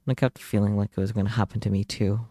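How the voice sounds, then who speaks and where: A man's voice: on the low side, flat delivery, racing, warm tone, dry recording, studio quality. A man reading a visual novel aloud as a streamer, narrating it dramatically in the first person. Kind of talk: dramatic read-aloud narration and translated dialogue.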